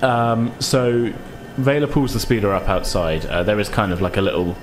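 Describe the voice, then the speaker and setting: A man's voice: on the low side, fairly even intonation, normal speed, background noise. A man running a tabletop role-playing game as game master, narrating in a conversational, casual and amused way.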